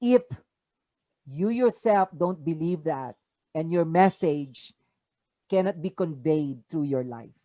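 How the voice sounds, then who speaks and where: A speaker with a Filipino accent giving an inspirational talk over a video call, asking the listeners rhetorical questions with emphatic rises. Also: male voice, on the high side, expressive, slow pace, mellow, dry recording. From Philippines. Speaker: motivational speaker. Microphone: video call mic.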